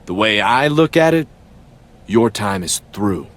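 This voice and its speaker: A man's voice: fairly low pitch, expressive, measured pace, fairly bright tone. A man playing an arrogant, menacing henchman wrestler in a scripted video game cutscene. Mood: threatening. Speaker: wrestler character.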